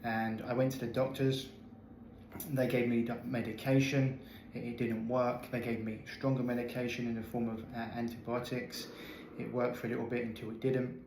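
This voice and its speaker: Earnest guy in his 30s on a video call, lots of restarts, flat tone, echoey room. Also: mid-range voice, moderate pace, background noise. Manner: earnest, candid, many restarts. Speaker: health coach guest. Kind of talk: interview storytelling.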